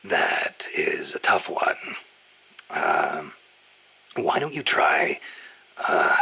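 Gruff old-hand soldier boss for a game, gravelly, really husky, fatherly, reassuring. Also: a bass voice, big pitch swings, sharp tone, exaggerated voice, poor audio. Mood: awkward. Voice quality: gravelly, raspy. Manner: measured, reassuring, halting on awkward subjects. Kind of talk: video game voice acting.

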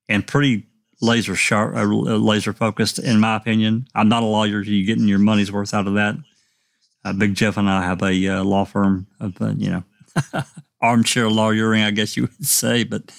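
Male host, gravelly voice, dry close sound, casual and hedging. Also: fairly low pitch, dry recording. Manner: reads viewer chat aloud, hedging with fillers. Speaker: livestream discussion host. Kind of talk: casual panel discussion.

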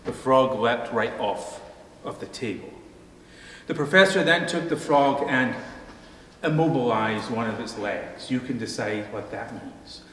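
Calm pastor, distant in an echoey church. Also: male voice, medium pitch, slight background noise. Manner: calm, measured storytelling with dry humor. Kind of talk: sermon. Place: church sanctuary, behind a pulpit.

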